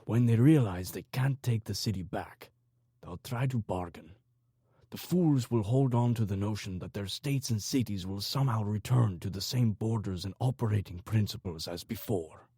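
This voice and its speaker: A man's voice: on the low side, normal speed, warm tone, husky, no echo, clean studio sound. A man voicing a confident, scheming Mexican cartel commander in an audiobook, with a grandiose, self-assured manner. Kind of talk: audiobook character dialogue.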